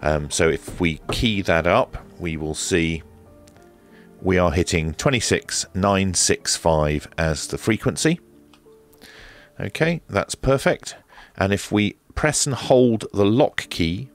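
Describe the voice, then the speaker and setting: A man presenting a casual hands-on radio equipment demonstration, explaining step by step in a methodical, hesitant way. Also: low-pitched.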